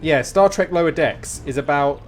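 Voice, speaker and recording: A man's voice: fairly high pitch, moderate pace. A man live streaming a game, chatting casually in a rambling, jokey way. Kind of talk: casual gaming chat.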